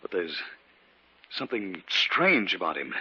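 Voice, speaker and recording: A man's voice: deep voice, very animated, a little slow, pushed and bright, slightly rough, exaggerated voice. A man in his thirties playing an earnest, polite, hesitant young researcher who narrates his story in a 1950s radio drama. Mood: uneasy, amazed. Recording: muffled, noisy old radio recording.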